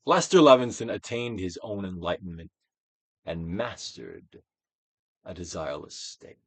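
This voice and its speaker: A man with a low voice reads aloud like a stage actor giving a dramatic recital. His delivery is solemn and declamatory, with wide swings of intonation. The sound is close and dry, with very little room around it. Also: animated, measured pace, fairly bright tone, no echo. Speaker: actor reading a book aloud. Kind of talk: dramatic audiobook reading, theatrical Shakespearean delivery.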